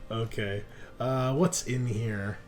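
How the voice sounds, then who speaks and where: A man's voice: medium pitch, steady tone, takes it slowly, faint music behind. A man acting as game master, explaining rules and narrating a tabletop roleplaying game in a conversational, explanatory way.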